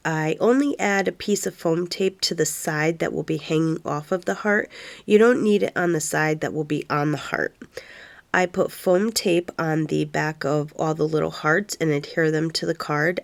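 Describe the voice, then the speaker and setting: A woman with a congested, stuffy voice calmly narrating a card-making tutorial step by step, recorded as a close voice-over. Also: sits low, creaky, no echo. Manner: calm step-by-step instructions.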